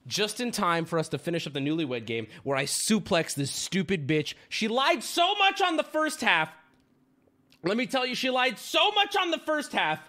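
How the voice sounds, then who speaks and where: A man's voice: high voice, big pitch swings, moderate pace, pushed and bright. A man in his thirties hosting a livestream from home, crude and joking with sudden yelps, and sniffly from a stuffy nose. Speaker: streamer host. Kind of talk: casual livestream chat. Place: home streaming room. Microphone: studio mic on boom arm with headphones.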